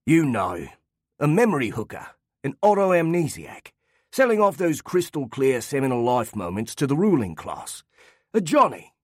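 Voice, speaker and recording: A man's voice: medium pitch, very animated, moderate pace, no echo, clean studio sound, voice pushed up. A man voicing a sly, worldly old male memory-keeper who speaks with a broad Australian accent and a teasing, jaunty lilt.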